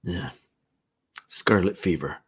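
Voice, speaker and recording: A man voicing a weary small-town doctor, speaking low and subdued. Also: expressive, slow pace, breathy edge, heavily acted. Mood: grieving.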